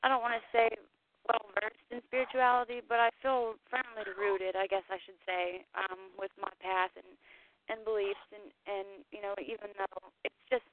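A woman's voice: mid-range voice, fairly even intonation, moderate pace, slight background noise. A pregnant woman from Tennessee, a guest on a talk show, speaking hesitantly, with many fillers and restarts. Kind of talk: casual chat. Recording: tinny phone line, dry.

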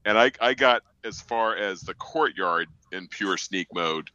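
A man in a casual gaming roundtable chat, a podcast panelist who explains things in a rambling, halting way. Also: on the low side, bright, forceful tone, no room sound, dull audio.

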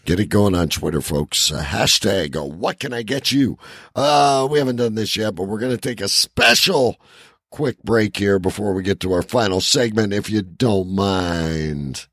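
Gravelly low-key podcast host, a man. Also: lively intonation, measured pace, fairly bright tone, dry recording, clean studio sound. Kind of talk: casual comedy podcast chat and plugs.

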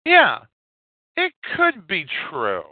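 A man hosting a podcast, giving casual news commentary in a halting, hesitant way with pauses. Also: sits high, wildly swooping pitch, dry recording. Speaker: podcast host. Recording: narrow phone-like audio, dry.

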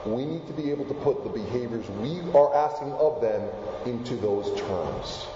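A man's voice: medium pitch, normal speed, background noise. Man in an echoey hall. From Argentina. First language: Spanish. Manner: animated, asks the audience questions. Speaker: keynote speaker. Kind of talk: lively presentation to a live audience. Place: large hall. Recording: echoey hall, dull sound.

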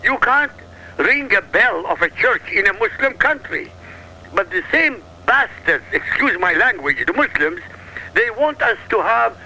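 Talk radio regular, male, from Iraq; high, swooping, gravelly delivery, combative and punchy. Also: bright, forceful tone, low-quality recording. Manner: emphatic, combative, punchy short sentences. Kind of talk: heated political talk radio.

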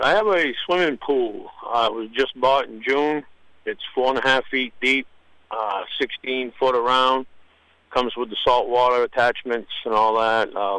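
A man calling into a radio show over the phone, listing things for sale in a plain way with frequent pauses. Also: medium pitch, a bit bright, dry recording. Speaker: caller. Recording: phone line.

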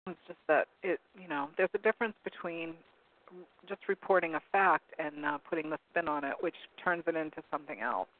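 Hoarse man on a tinny phone line. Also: a little high, fairly quick. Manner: rambling, searching for words with many ums. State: hoarse, losing his voice. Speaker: call-in talk show host. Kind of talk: casual talk radio commentary, reading from web pages. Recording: narrow phone-like line, dry.

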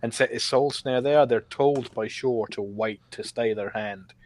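A man's voice: mid-range voice, measured pace. A man on a podcast panel, explaining things tentatively and conversationally in a casual discussion of a video game.

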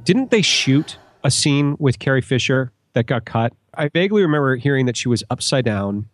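A man's voice: mid-range voice, very animated, normal speed, no room sound. A man chatting casually as a panelist in a fan discussion podcast.

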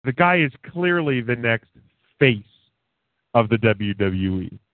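A man's voice: mid-range voice, big pitch swings, takes it slowly, dry recording. A thirty-year-old man rambles through a loose, joking chat on a talk radio show. His voice comes through a thin, phone-like line with no room sound, and he often restarts mid-sentence. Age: 30s. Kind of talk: casual wrestling chat. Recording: tinny phone-like sound, dry.

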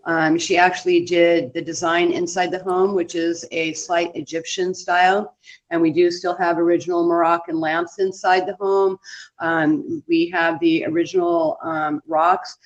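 A woman's voice: on the low side, little pitch movement, measured pace. A woman speaking as a tour guide in a casual interview, conversational and full of small anecdotes. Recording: dull lo-fi audio.

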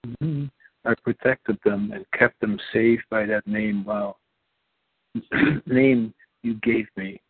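A man whose first language is not English, a guest on the show who reads aloud slowly and carefully. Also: lowish voice, breathy edge, no room sound. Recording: dry, tinny phone-like line.

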